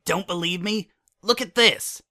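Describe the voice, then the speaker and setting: Brash young female pegasus pony, played by a man, raised pitch, desperate, grief-stricken, for dramatic fiction audio. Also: unhurried, pushed and bright, heavily acted. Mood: desperate, angry. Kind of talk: dramatic fan fiction reading.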